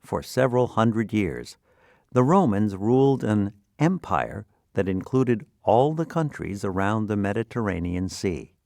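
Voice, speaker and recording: Male narrator for educational material: low-pitched, deliberate, instructional, with lively intonation. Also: creaky, no echo, clean studio sound. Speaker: vocabulary lesson narrator.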